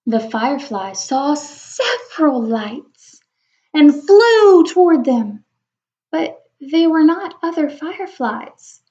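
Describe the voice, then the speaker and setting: Female storyteller for young kids, high voice, expressive and wondering, chatty asides, slightly muffled lo-fi sound. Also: slow. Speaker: read-aloud storyteller. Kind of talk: children's picture book read-aloud with chatty asides. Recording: dull lo-fi recording.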